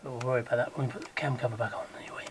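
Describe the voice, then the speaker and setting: A man with a hoarse, croaky voice talks viewers through a car repair in a relaxed, chatty way. His pitch sits mid-range for a man, and he often drops to a low mutter while his hands are busy. Faint noise hums in the background. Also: racing. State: croaky, recovering from illness. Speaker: DIY car repair vlogger. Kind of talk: casual hands-on how-to tutorial.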